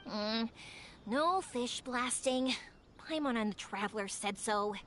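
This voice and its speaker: A woman playing an upbeat, kind big-sister type in a video game, young, energetic and encouraging. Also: fairly high pitch, slow pace, slight background noise. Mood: curious, casual. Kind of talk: video game character dialogue.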